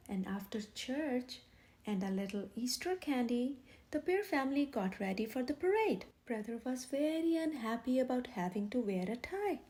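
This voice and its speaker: A woman reading a children's picture book aloud as a storyteller, with expressive, clearly phrased narration. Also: fairly high pitch.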